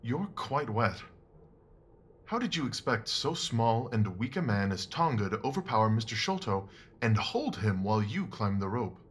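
A man playing a composed, shrewd gentleman detective in a Victorian audio drama, speaking in a measured, formal, authoritative way. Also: fairly low pitch, very animated, slight background noise. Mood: courteous, probing.

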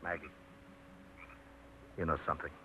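A man's voice: extremely low pitch, level tone, normal speed, pushed and bright, a little hiss or hum. An actor playing a confident young trucker courting his girl, playful and coaxing, in 1950s radio drama style. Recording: muffled 1950s radio broadcast.

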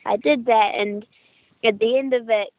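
A woman's voice: fairly high pitch, steady tone, moderate pace, slight background noise. A young woman with a New Zealand accent, an actress chatting in a friendly interview over a remote call. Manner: friendly, chatty, with restarts and laughs. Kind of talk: casual interview chat. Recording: tinny, dry call audio.

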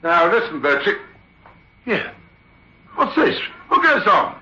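A man's voice: high voice, big pitch swings, slow pace, sharp tone, husky, lo-fi sound, heavily acted. A man playing a bewildered, agitated theatre manager in a 1960s radio drama, emphatic and repeating himself. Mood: agitated, demanding. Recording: band-limited old radio recording.

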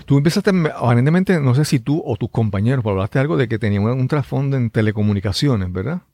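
A man's voice: medium pitch, expressive, fast talker, a little mellow, dry recording. A man with a Puerto Rican accent hosting a conversational interview, working his way wordily toward his questions. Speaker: interviewer, host.

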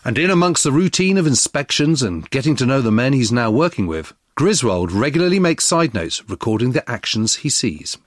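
Expressive male narrator, lively pitch, dry close recording. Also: a little fast, few pauses, dry recording. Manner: expressive, explanatory. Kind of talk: scripted documentary narration.

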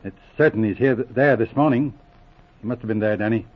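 An actor playing a thoughtful, kindly police sergeant who reasons deliberately, in 1940s radio drama style. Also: male voice, mid-range voice, husky, close to an everyday voice. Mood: concerned, steady. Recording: muffled vintage radio recording.

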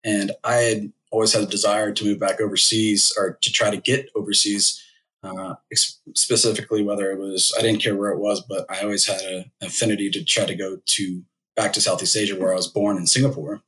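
Thirties male guest, low and even-toned, thoughtful and unhurried. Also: low-quality recording. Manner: measured, thoughtful, with many fillers. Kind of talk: casual interview.

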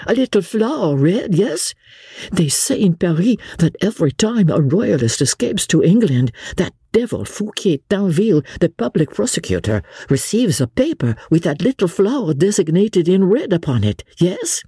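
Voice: medium pitch, sing-song, normal speed, mellow, slightly rough, dry recording, clean studio sound, heavily acted. An audiobook reader voicing an eager young French viscount who talks in questioning, foreign phrasing. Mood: intrigued.

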